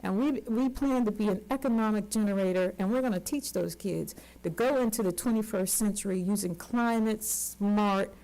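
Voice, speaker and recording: A woman in her sixties speaks at a conference panel in an unscripted, heartfelt way. She is emphatic and earnest, often repeating words to drive a point home. Her voice sits at an ordinary middle pitch for a woman. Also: dark tone, rough recording. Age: 60s. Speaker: community organizer on a panel.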